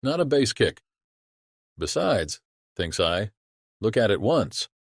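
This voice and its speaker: A salty, talkative sailor, played by a man spins a yarn to his shipmate in a dramatized audiobook. The voice is on the low side, colloquial and full of exclamations, recorded dry and close. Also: very animated, slow pace, no room sound, heavily acted. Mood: animated musing.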